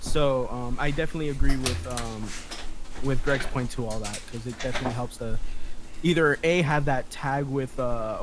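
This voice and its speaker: A man's voice: medium pitch, noisy background. A man talking casually in a group feedback session, tentative and rambling, with thoughts that trail off. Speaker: script reading participant giving notes.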